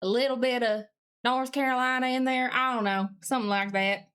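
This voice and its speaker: High-pitched woman streamer with a Southern drawl. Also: fairly even intonation, brisk, fairly bright tone, clean tone. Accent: put-on Southern US. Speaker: live streamer. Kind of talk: casual live-stream chat while working at a computer.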